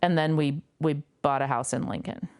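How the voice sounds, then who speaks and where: A woman guest sharing a personal story in a reflective, unhurried way, with frequent fillers. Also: low voice, fairly even intonation, darkish tone. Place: a home.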